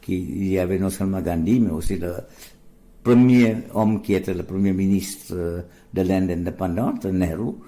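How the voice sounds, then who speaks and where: A low, mellow-voiced older man, from a Gujarati-speaking home. He talks slowly and hesitantly, with many restarts, as a guest in a radio interview. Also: steady tone. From India. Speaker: guest, deacon.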